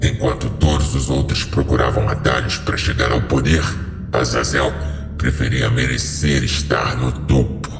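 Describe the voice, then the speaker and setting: A man's voice: very deep voice, level tone, unhurried, creaky, pitched below the performer's own voice, full character voice. A man playing an ancient, solemn and commanding fallen being who speaks in stately, cryptic pronouncements in a horror audio story. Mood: solemn, revealing. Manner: solemn, stately, portentous delivery. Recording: heavily reverberant voice over music.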